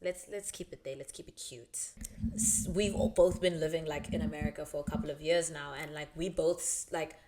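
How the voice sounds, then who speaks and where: A woman from Lesotho co-hosting a podcast, with a fairly low voice. She chats casually and reacts in a playful, emphatic way. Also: fairly even intonation, brisk, a crowd in the background. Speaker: podcast co-host. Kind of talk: casual chat.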